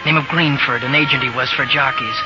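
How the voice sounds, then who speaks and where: An actor playing a young rough-and-ready ex-jockey drifter in a 1940s radio drama, colloquial and guarded. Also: male voice, mid-range voice, fairly even intonation, a little fast, loud music behind the voice, natural voice. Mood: reflective narration. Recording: muffled, noisy old radio broadcast recording.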